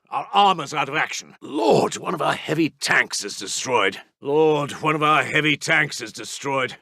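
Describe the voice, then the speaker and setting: Clipped, bright-edged British wartime officer. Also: male voice, on the high side, no room sound, clean studio sound. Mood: dismayed, exasperated. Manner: clipped, terse, grave military reporting. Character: British WWII army intelligence officer, grim, urgent, clipped. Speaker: game voice actor. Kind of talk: military radio report voicelines for a strategy game.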